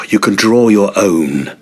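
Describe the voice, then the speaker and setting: An actor playing a shaken young Oxford student of the Victorian era in an audio drama, halting, urgent and grave. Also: male voice, on the low side, lively intonation, fairly bright tone, exaggerated voice. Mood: earnest, grim. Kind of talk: dramatic audio play acting.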